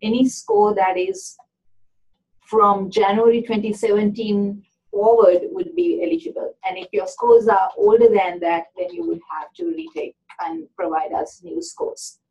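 A woman's voice: mid-range voice, big pitch swings, measured pace, gravelly, a little mellow. Woman presenting a webinar, dull audio. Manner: explanatory, with frequent "um" fillers. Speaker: admissions presenter. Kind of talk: informational webinar talk.

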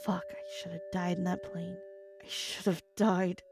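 Fierce, unhurried woman pilot. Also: on the low side, level tone, creaky, music in the background. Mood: bitter, grieving. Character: fierce, foul-mouthed, hopeful woman pilot in apocalypse. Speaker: voice actor. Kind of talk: dramatic sci-fi audio drama acting.

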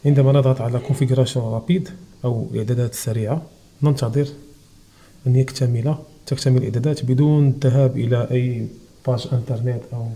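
A man's voice: medium pitch, warm tone. A Moroccan man with a Moroccan Arabic accent, hosting a technical tutorial and explaining each step of a device setup. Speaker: channel host.

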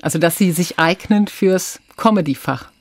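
A woman's voice: fairly low pitch, moderate pace. A woman hosting a conversational radio interview, asking probing, thoughtful questions. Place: dry radio studio.

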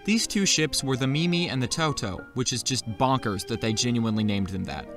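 A man's voice: mid-range voice, a little fast. A man narrating a scripted history video with sarcastic, jokey asides. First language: not German. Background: faint background music.

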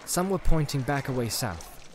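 A man's voice: mid-range voice, very animated, moderate pace. Male narrator of a dramatic fantasy audiobook. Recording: faint ambient soundscape behind.

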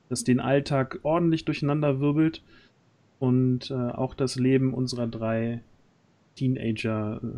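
A man in his thirties chats casually as a stream host. He has a medium-pitched voice and a jovial manner, speaking into a close microphone at home. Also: warm tone. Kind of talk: casual livestream chat. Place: small dark room at home. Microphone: large microphone close to the mouth.

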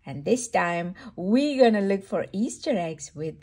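Woman reading to toddlers, slow and very animated. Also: mid-range voice. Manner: sing-song, exclaiming, simple questions to a small child. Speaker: children's storytime host. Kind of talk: read-aloud storytelling to toddlers.

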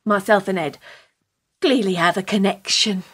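A woman's voice: mid-range voice, moderate pace, fairly bright tone, breathy edge. An actress in a radio play playing an earnest, forthright, lovelorn woman who will not give up. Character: earnest, lovelorn, persistent woman pursuing a man.

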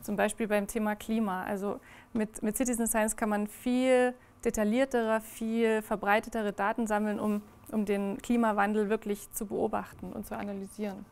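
A woman's voice: medium pitch, level tone, moderate pace, darkish tone, slight background noise. A young woman giving a presentation to a small audience indoors, talking with many fillers and restarts. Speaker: project presenter. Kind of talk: presentation talk. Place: indoor reading room, in front of a small audience.

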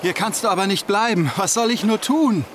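A man's voice: high voice, fairly quick, background noise, close to an everyday voice. A man playing a worried, helpless dad in a German cartoon dub, anxious and earnest. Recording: music behind.

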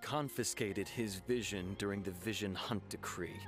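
A man plays a serious young officer of the guard in an anime game. His fairly low voice is formal and measured, with earnest sternness. Music runs underneath. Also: natural voice. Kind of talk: anime video game dialogue. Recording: music behind the dialogue.

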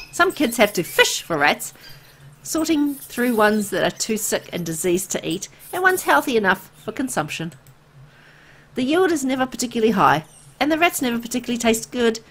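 A woman playing a weary, soft-hearted young woman who narrates her bleak town in a visual novel, reflective and conversational. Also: on the high side, sing-song, moderate pace, breathy edge, full character voice. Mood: pitying, somber. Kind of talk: scripted first-person visual novel narration. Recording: music under the voice. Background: background music.

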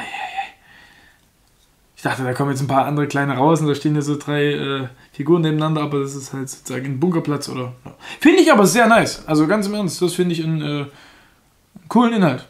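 A young man giving casual, frank commentary as he unboxes a product and reviews it, speaking German with a slight foreign lilt and joking about himself. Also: fairly high pitch. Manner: chatty, frank, laughs at himself.

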